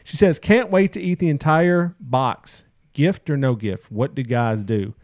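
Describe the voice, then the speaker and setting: A man's voice: medium pitch, measured pace, a little mellow, no echo. A man hosts a casual podcast with an upbeat, chatty delivery and a mid-range voice. The sound is close and dry, with no room around it. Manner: upbeat, reading out listener posts. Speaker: podcast host.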